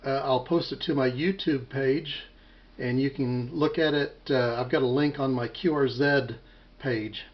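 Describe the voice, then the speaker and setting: An American man talking casually as an amateur radio operator, measured and a little hesitant, on a muffled home recording. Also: mid-range voice, fairly even intonation.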